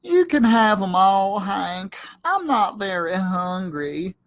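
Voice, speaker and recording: Small whiny storybook dog, very high pitch, swooping intonation, timid, naive, hesitant. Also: male voice, sing-song, slow, fairly bright tone, exaggerated voice. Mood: glum, wistful. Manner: plaintive, hesitant. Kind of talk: children's storybook character voice.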